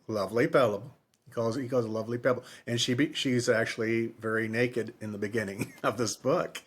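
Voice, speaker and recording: Halting man in his fifties, casual podcast talk. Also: mid-range voice, fairly even intonation, breathy edge. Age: 50s. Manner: halting, circling back and repeating phrases. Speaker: podcast host. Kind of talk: casual book discussion.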